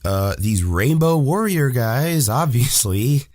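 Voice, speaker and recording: Audio drama teen: a man as an easygoing, puzzled boy, drowsy and lively in intonation, husky, dry sound. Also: mid-range voice, takes it slowly, no echo. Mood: casual. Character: laid-back teenage boy, friendly and a little puzzled. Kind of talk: audio drama acting, naturalistic.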